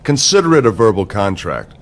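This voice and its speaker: A man's voice: fairly low pitch, big pitch swings, fairly quick. An actor playing a smug, scheming male wrestling general manager in a video game cutscene, with a condescending, pompous manner.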